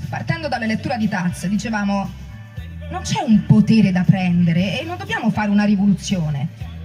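An actress playing a reflective former political activist in a dramatic reading of oral history. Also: female voice, mid-range voice, very animated, normal speed, a little mellow, natural voice. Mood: reflective, recollecting. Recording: distant microphone, music underneath.

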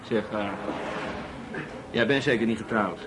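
An actor playing a talkative middle-aged working-class driver in a colloquial radio drama, speaking in a chatty, familiar way. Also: male voice, lowish voice, a little slow, noisy background, lightly acted. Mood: chatty, musing.

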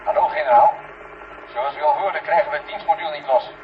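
An actor playing a level-headed, matter-of-fact astronaut in a 1970s science fiction radio play. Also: male voice, a little high, steady tone, a little fast, close to an everyday voice. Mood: businesslike. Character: level-headed male astronaut reporting from spacecraft. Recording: dull vintage radio recording with hum.

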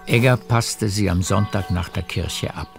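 Low, creaky male storyteller. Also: a little slow, faint music behind. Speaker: narrator. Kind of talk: literary radio drama narration.

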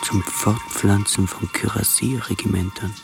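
A man's voice: lowish voice, fairly even intonation, unhurried, gravelly. An actor playing a coarse, swaggering military man full of lust, savouring his short phrases in a radio play. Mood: lustful admiration. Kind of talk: German-language radio play acting. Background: music.

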